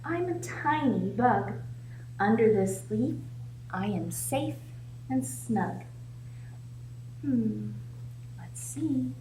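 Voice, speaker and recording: A woman reading a picture book aloud to young children as a storytime host, with an encouraging, sing-song way of prompting her listeners. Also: a little high. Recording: echoey room with faint background noise.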